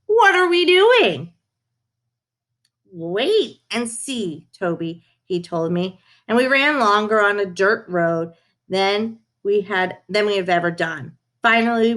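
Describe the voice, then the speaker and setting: A woman's voice: medium pitch, a bit bright. Woman, mid-range voice, storybook read-aloud, first-person animal narrator, children's book reader.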